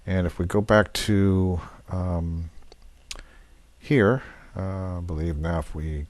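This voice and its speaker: A man giving an unscripted, matter-of-fact software walkthrough, going methodically step by step as a demonstrator on a screen recording. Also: sits low, slow, dry recording.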